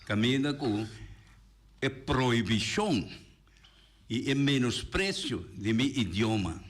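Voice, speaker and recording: A man with a mid-pitched voice joins a radio discussion in the studio. He makes his points in an earnest, measured way. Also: lively intonation.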